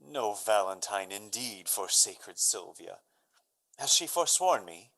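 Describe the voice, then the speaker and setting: A man playing a lovesick, despairing young gentleman in a Shakespearean radio drama, declaiming with anguish. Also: lowish voice, lively intonation, slow pace, creaky, exaggerated voice, poor audio. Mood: bleak.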